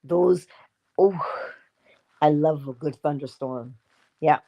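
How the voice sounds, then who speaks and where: A woman hosting a casual morning livestream, chatty and playful, laughing often and breaking into sudden high exclamations. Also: fairly even intonation, slow, lo-fi sound. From Barbados.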